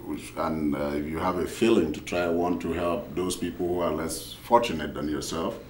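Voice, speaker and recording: A man from Cameroon, a poet and author, answering at a panel discussion in a measured way with frequent pauses. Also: very deep voice, fairly even intonation. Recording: echoey room, far from the mic, faint noise.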